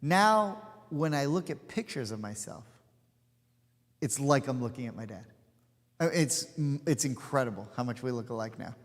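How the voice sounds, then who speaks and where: A man's voice: medium pitch, animated, normal speed. A man in his forties leading an informal study class, chatty and digressive, with plenty of asides and fillers. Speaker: rabbi teaching a class. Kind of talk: informal study-session teaching. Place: synagogue hall. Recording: hall with long reverb.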